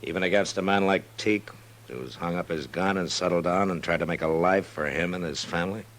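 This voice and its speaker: An American actor playing a stern, weary, principled Old West marshal in an old-time radio drama. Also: male voice, low-pitched, fairly quick, a bit bright. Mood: grave, firm. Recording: noisy old radio recording with hum.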